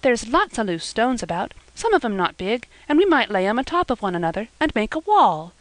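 A woman voicing a gentle, eager young girl, light and earnest. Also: fairly high pitch, big pitch swings, brisk, dry recording, poor audio.

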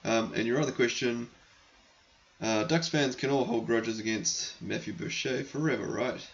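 A man hosting a casual hockey podcast and answering listener questions. He is losing his voice, clears his throat often, and talks in a rambling, frank, sometimes crude way. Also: medium pitch, normal speed, muffled recording. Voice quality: gravelly, croaky.

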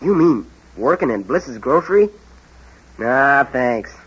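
A man's voice: medium pitch, sing-song, takes it slowly, a bit bright, husky, full character voice. An actor playing a cocky, evasive seventeen-year-old boy in an old-time radio drama, with a slangy, offhand, defiant delivery. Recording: dull old radio broadcast recording.

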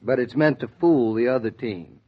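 A man's voice: medium pitch, normal speed, close to an everyday voice. An actor playing a casual, teasing husband in his thirties, boyishly keen, in a 1940s American radio drama. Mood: amused, easygoing. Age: 30s. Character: easygoing, teasing young husband, a keen college football fan. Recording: muffled 1940s radio recording.

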